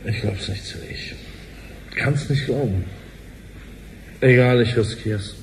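A man's voice: medium pitch, slow pace, noisy background, lightly acted. A man playing a grave, determined executive in a radio drama. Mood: grave, resolved. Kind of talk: dramatic radio play acting.

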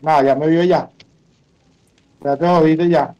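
A man's voice: on the high side, fairly even intonation, slow, dark tone, lo-fi sound. A man with a Venezuelan accent chatting casually over a game, slangy and bossy, repeating his instructions to teammates. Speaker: gaming streamer. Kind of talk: casual gaming chat with teammates.

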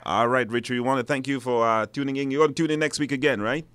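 A man hosting a live radio phone-in, speaking haltingly and repeating words. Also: medium pitch, steady tone, a bit bright, clean tone, no room sound. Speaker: radio talk show host. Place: radio studio.

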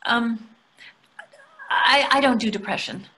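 Needling, reluctant wife for a play reading: older, bright, slightly high, defensive. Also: female voice, takes it slowly. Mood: evasive. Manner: hesitant, defensive. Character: anxious, needling, reluctant woman therapist and wife. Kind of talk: readers theatre over video call. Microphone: video call.

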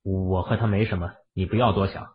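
A man's voice: deep voice, fairly even intonation, brisk, slightly rough. A man voicing an understated, musing young husband, the laid-back hero of an urban fantasy audiobook. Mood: casual. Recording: muffled, phone-like, lo-fi audio.

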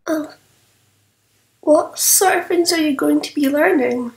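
A woman voicing a sweet, naive young forest girl, girlish and expressive, in a comic fan dub. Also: high-pitched, a little slow, echoey room. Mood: gentle, wistful. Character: gentle young magical forest girl, naive, playful, fiery when hurt.

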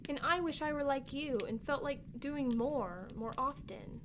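A woman's voice: high-pitched, a little slow, a bit bright, a little hiss or hum. A woman playing a candid, worried young sister in a family audio drama, with a childlike way of talking. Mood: wistful, candid.

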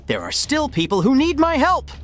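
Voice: high-pitched, wildly swooping pitch, moderate pace, a bit bright, full character voice. A performer voicing a cocky but caring young hedgehog hero in a comic dub, casual, slangy and confident. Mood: defiant.

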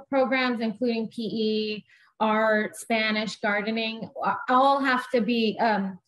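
A woman in her forties talks as a winery guest on a video call, rambling with fillers and restarts. Her voice sits a little higher than most women's. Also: low-quality recording. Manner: chatty, many fillers and restarts, laughs easily. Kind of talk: casual chat on video call. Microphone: video call webcam.

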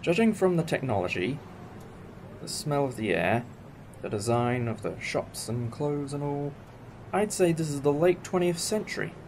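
A man's voice: on the high side, very animated, normal speed, darkish tone, a little hiss or hum. A British man playing an eccentric, enthusiastic alien time traveller in a fan audio drama, musing like a professor. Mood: musing, upbeat. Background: music.

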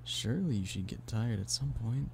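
A man's voice: mid-range voice, moderate pace, gravelly. A man playing an affectionate, playful boyfriend in an ASMR roleplay, teasing and pleading in an intimate one-sided conversation. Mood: coaxing, casual. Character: playful, affectionate human boyfriend coaxing a stubborn cat-person. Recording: steady background noise of rain. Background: rain.

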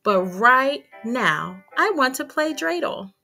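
A woman's voice: high-pitched, very animated, takes it slowly, gravelly, sharp tone, soft background music, exaggerated voice. A bubbly playful little girl, read by a woman. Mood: eager. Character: cheerful playful young girl.

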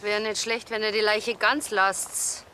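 A woman's voice: mid-range voice, little pitch movement, unhurried, sharp tone, a little hiss or hum. A woman playing a dry, unimpressed forensic doctor, curt and sardonic, in a TV crime comedy.

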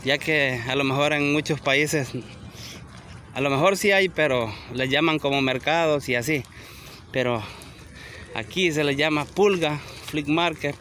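Friendly man vlogger, slightly high voice, chatting to viewers outdoors on a phone camera, with faint background noise. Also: measured pace, pushed and bright. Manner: chatty, addresses viewers directly, asks for likes. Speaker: vlogger touring a flea market. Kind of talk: casual walk-and-talk vlog. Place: outdoor flea market, sunny gravel lot. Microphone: handheld phone camera.

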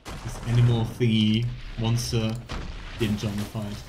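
A man's voice: on the low side, little pitch movement, a little slow, background noise. A man in his thirties giving casual, chatty let's play commentary with a wry, mock-complaining manner. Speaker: game streamer. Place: small room indoors. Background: game music.

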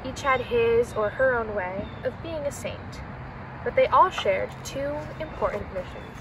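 A young woman reads a children's picture book aloud with a fairly high voice. She is outdoors, some way from the microphone, and clear background noise sits behind her. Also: a little slow. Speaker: reader. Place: outdoors in autumn, seated by shrubs. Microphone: camera several meters away. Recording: outdoor, distant mic, noisy background.

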